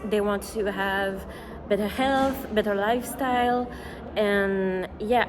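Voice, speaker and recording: A woman with a French accent hosting a podcast interview, asking questions in an eager, chatty way. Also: medium pitch. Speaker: podcast host. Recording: audible background noise.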